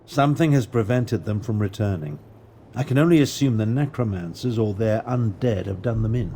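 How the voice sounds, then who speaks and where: A man's voice: medium pitch, mellow, a little hiss or hum, natural voice. Man playing a grave, formal mage, muted tone. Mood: resolute. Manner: formal, grave, deliberate phrasing. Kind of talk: video game dialogue.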